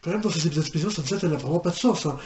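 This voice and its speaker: A young man giving unscripted reaction commentary, halting and repeating himself in an incredulous way. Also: on the high side. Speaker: reaction video host. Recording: echoey room with background noise.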